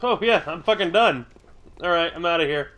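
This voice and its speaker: A man's voice: fairly high pitch, animated, fairly quick, a bit bright. A man live-streaming a video game, stuffy from a cold, chatting casually with viewers in a rambling, grumbly way and swearing now and then. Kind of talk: casual live-stream chat while playing.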